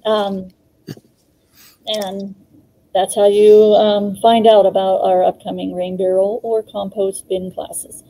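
A woman's voice: mid-range voice, flat delivery, takes it slowly, dark tone, rough recording. A woman in her fifties, co-hosting a live online garden clinic over a video call, chatting casually with frequent ums and little laughs. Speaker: co-host, extension educator. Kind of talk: casual live-stream chat.

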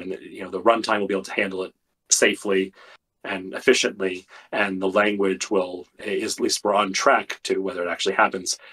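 A man, a software engineer guest, explaining technical details thoughtfully with many fillers, his voice getting tired and worn. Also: deep voice, slightly rough, rough recording. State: losing his voice. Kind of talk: technical interview talk.

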